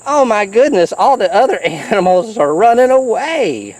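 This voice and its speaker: A person reading a children's picture book aloud in an animated, chatty way, with playful asides to the listener. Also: mid-range voice, moderate pace, poor audio. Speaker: storybook reader.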